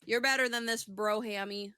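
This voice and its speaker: A woman with a bright, forward voice chats on a podcast in a close, dry sound. She is casual and slangy, swearing freely as she banters with her co-host. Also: medium pitch, steady tone, normal speed, clear, resonant tone, no echo. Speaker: podcast co-host and aspiring voice actor.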